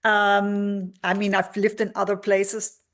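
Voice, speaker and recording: A woman from Germany speaks as a guest career expert, recorded over a video call. Her voice sits at a middle pitch, and she answers at length with frequent fillers and restarts. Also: completely flat delivery, takes it slowly. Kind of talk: interview answers.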